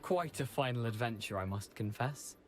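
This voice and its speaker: An actor playing a headless schoolboy ghost in a video game, British, chatty and theatrical, with rueful, self-deprecating wit. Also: male voice, medium pitch, moderate pace, soft background music, lightly acted. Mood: rueful, wry. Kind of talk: video game character acting.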